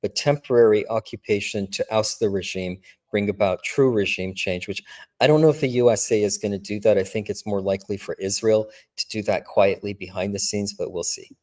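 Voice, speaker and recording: A man's voice: fairly low pitch, fairly quick, a little mellow. A man hosting a reaction show, breaking in with emphatic commentary aimed at his viewers. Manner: direct, emphatic asides to the audience.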